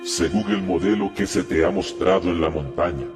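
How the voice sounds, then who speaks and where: A man voicing a majestic, solemn God in a dramatized audio Bible, declaiming in a measured way. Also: deep voice, fairly even intonation, over loud music, natural voice. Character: God speaking scripture, solemn, slow, majestic male voice.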